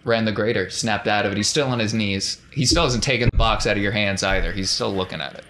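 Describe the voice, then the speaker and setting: A man's voice: lowish voice, quick pace, a bit bright, a little hiss or hum. A man narrating a tabletop game as its game master.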